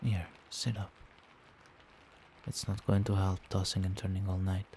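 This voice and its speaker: A man playing a doting, protective vampire boyfriend in a soft-spoken, soothing and attentive ASMR roleplay. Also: sits low, monotone, unhurried, a little hiss or hum. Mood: tender, concerned. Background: rain.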